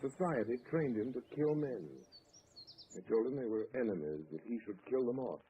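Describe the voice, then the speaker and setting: A man's voice: mid-range voice, lively intonation, dark tone, a little hiss or hum, close to an everyday voice. A man playing a grave radio-drama crime fighter. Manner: measured and earnest. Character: calm, grave male crime-fighting gentleman. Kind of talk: 1940s radio acting. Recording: tinny old radio transcription.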